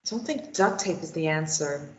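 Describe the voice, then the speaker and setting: A woman's voice: low voice, lively intonation, normal speed. A woman reading the part of a bitter, defensive young woman in a table read of a stage play, snapping out short retorts. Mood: curt. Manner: curt, sharp retorts. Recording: dull lo-fi, echoey room.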